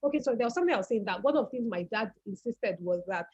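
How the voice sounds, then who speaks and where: A woman's voice: on the high side, lively intonation, rapid-fire, darkish tone, slightly rough. A woman with a Nigerian accent, speaking as a guest on an online talk and telling her family's story reflectively, often repeating words as she searches for them. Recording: dull lo-fi call audio.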